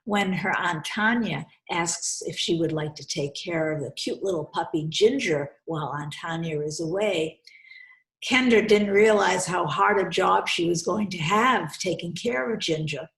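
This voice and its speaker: A woman hosting a children's storytime, speaking deliberately and instructively as she reads and explains, often repeating phrases for young listeners. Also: lowish voice, gravelly, a bit bright. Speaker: host reading children's books aloud. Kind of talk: storytime read-aloud with commentary to children. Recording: roomy, echoey sound.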